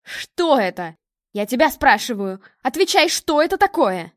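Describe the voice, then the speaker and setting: Женщина играет властную язвительную мать, голос высокий. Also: female voice, a little high, lively intonation, quick pace, a little mellow, no room sound, clean studio sound, lightly acted. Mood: furious. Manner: cutting, commanding, sarcastic. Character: domineering, sarcastic, snobbish angry mother of a girl.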